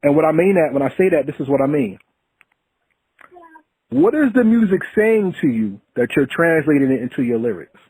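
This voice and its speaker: A man's voice: a little high, very animated, normal speed, darkish tone, breathy edge, no echo, rough recording. A man hosting a hip-hop podcast, asking questions in an earnest, preachy way. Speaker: podcast host. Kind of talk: casual interview.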